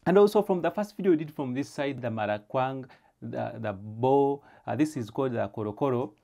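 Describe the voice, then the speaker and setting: Young man, mellow tone, mid pitch, casual vlog host, halting delivery with repeats. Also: vocal fry. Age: young adult.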